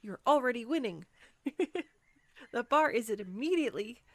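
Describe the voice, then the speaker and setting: Woman acting as clumsy young woman on a first date, flustered, self-deprecating, slow rambling pace, dry close recording, intimate audio roleplay. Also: on the high side, expressive, no room sound. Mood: self-reassuring, nervous.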